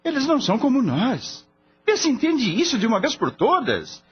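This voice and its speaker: Actor as scornful boss, animated, dull audio. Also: male voice, very high-pitched, moderate pace, exaggerated voice. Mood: dismissive, lecturing. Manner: blunt, mocking, lecturing. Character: skeptical, scornful male veterinarian boss. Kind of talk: radio drama acting. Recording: dull lo-fi audio, faint hum.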